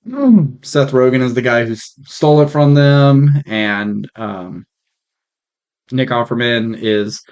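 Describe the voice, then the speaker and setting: A man hosting a podcast, chatting casually in an informal, frank and joking way, on slightly rough mic audio. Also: medium pitch, a little mellow, lo-fi sound. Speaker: podcast host.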